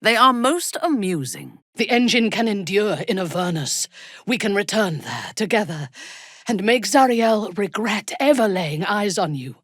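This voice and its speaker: A woman playing an imperious, ruthless dark elf warrior in a video game, haughty and commanding, with a husky, breathy delivery. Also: medium pitch, big pitch swings, no echo, clean studio sound. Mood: scornful, amused. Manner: haughty, commanding, measured, deliberate.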